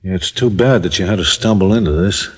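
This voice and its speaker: Low-voiced menacing gangster. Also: male voice, brisk, husky. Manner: curt, threatening. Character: menacing hiding gangster man. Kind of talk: 1950s radio drama acting.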